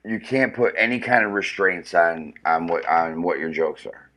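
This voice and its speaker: A man's voice: low-pitched, moderate pace, pushed and bright. A man with a powerful voice, ranting casually and profanely with lots of restarts during a comedic livestream. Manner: profane, rambling, restarts often.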